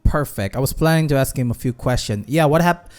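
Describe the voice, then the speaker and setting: A man's voice: medium pitch, fairly quick, a little mellow. A man giving casual gameplay commentary, chatting informally to his viewers. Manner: chatty, addresses viewers as guys. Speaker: gaming streamer. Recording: game music behind the voice.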